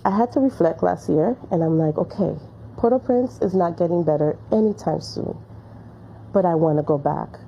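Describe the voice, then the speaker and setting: Low, dark-voiced woman in an interview. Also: normal speed, vocal fry, lo-fi sound, slight background noise. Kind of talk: documentary interview.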